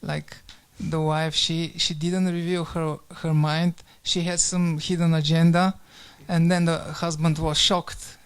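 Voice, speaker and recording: A man sharing his thoughts casually in a group discussion, halting as he searches for words. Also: a little high, flat delivery, clean tone.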